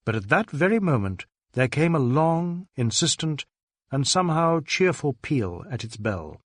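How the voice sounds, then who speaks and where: A man reading a novel aloud as scripted narration, in a measured, formal storytelling manner. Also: a little high, very animated, dry recording, clean studio sound. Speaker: audiobook narrator.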